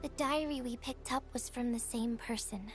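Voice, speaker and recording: A woman playing a gentle, wistful young courier in a video game, speaking softly and breathily with a tender, reflective manner. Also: fairly high pitch, moderate pace, faint music behind, lightly acted. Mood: somber, sympathetic. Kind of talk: video game story dialogue.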